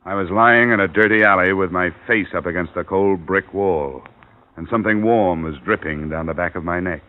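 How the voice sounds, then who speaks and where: A man's voice: sits low, moderate pace, lightly acted. An actor playing a dry, tough, persistent investigator who narrates his own case in an old radio crime drama. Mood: grim. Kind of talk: hardboiled 1940s-50s radio narration and acting. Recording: muffled old radio recording.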